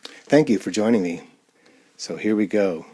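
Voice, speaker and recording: Low-voiced man, halting casual host. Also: dark tone. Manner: halting, off-the-cuff. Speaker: host and amateur radio-play performer. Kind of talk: casual introduction to an audience.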